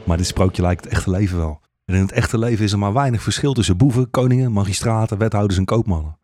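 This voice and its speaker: A man's voice: lowish voice, fast, dark tone, no echo. A man narrating a satirical fairy-tale radio play as a storyteller.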